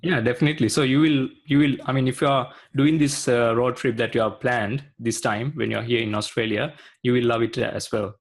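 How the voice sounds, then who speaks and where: A man's voice: mid-range voice, steady tone, fairly quick, few pauses. A man from Sri Lanka speaking as a guest in a casual video-call interview, hesitant and full of fillers. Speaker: guest, IT consultant.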